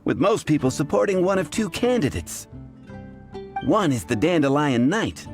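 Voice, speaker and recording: An actor playing a wry, talkative young tavern worker with a florid, theatrical manner in a video game dub. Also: male voice, fairly high pitch, big pitch swings, normal speed, soft background music. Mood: amused.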